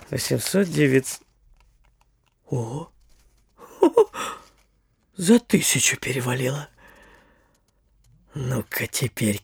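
High-voiced, boastful young gentleman. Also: gravelly, a little hiss or hum, exaggerated voice. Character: boastful, frivolous young gentleman mistaken for an official. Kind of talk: theatrical audio drama acting.

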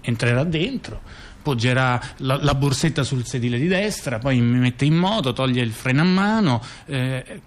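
A man telling a story conversationally on stage, repeating words, stumbling and throwing in rhetorical questions. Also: fairly high pitch. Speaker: performer in a two-person theatre dialogue. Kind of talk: conversational storytelling to an audience member.

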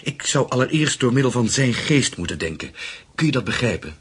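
A man's voice: mid-range voice, big pitch swings, brisk, slightly rough. An actor in a Dutch radio play voicing an earnest, courtly, ambitious businessman with political aims. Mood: persuasive, solemn.

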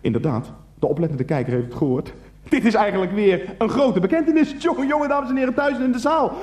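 A man's voice: high voice, animated, fast, darkish tone, echoey room. An actor playing a pushy, gushing TV game show host in a radio play parody, theatrical and repeating himself as he goads. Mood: gleeful.

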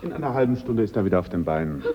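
Man playing a deep-voiced, brisk police sergeant. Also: expressive, far from the mic, a crowd in the background, lightly acted. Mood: brisk, dutiful. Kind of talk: German radio crime drama acting. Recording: reverberant, dull, noisy recording.

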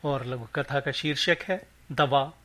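A man's voice: medium pitch, unhurried, bright, forceful tone. A man narrating a scripted short story for a podcast, reading as the host.

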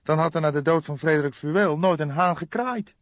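A man's voice: a little high, a little fast, no echo, lo-fi sound, pitched above the performer's own voice, lightly acted. A man voicing an eager, inquisitive young police detective in an audiobook. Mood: puzzled, inquisitive.

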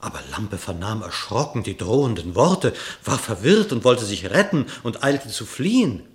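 A man narrating a classic verse fable in a radio play, measured and expressive. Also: fairly low pitch. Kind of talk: scripted storytelling narration in verse.

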